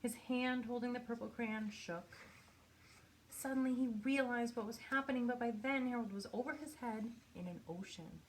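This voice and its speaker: A woman reading a children's picture book aloud in an expressive storytelling manner, with pauses for effect. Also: fairly high pitch, a little hiss or hum, low-quality recording. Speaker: storybook reader.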